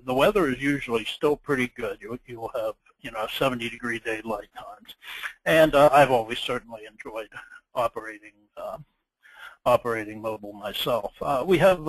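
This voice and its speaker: A man giving an informal webinar presentation as an organizer, speaking haltingly with frequent ums and uhs. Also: mid-range voice, dry recording, rough recording. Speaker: contest chairman presenting a webinar. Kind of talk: informal slide presentation of contest rules. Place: indoors, online webinar.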